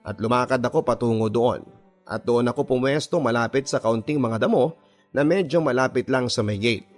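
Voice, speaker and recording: Man, radio drama narrator, first-person confessional storytelling, medium pitch, background music. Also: moderate pace.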